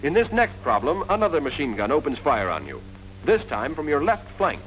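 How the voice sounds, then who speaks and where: Animated 1940s American military training film narrator. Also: male voice, a little high, fairly quick. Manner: direct, instructive, addressing the trainee as "you". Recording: noisy vintage 1940s film soundtrack.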